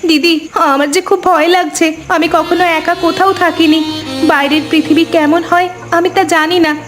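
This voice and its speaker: A woman's voice: very high-pitched, steady tone, moderate pace. A woman playing a timid, anxious youngest daughter-in-law in a cartoon drama, with a plaintive, fearful manner. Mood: frightened, worried. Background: music.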